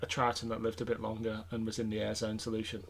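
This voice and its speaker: A man's voice: fairly low pitch, monotone, fast talker, creaky, slight background noise. A man co-hosting a podcast in casual, rambling chat about a TV show and its fandom, with a jokey streak. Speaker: podcast host.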